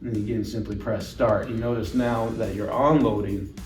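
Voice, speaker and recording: A man's voice: lowish voice, fairly even intonation, moderate pace, darkish tone. A man giving an instructional product demonstration, explaining things plainly and step by step. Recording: roomy echo, distant mic, music underneath.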